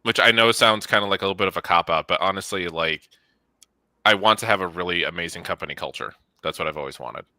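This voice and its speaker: Man, thirties, very low forceful voice, close dry sound, informal and self-effacing interviewee. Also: quick pace, no room sound. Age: 30s. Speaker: studio co-founder and animator being interviewed. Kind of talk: casual interview chat.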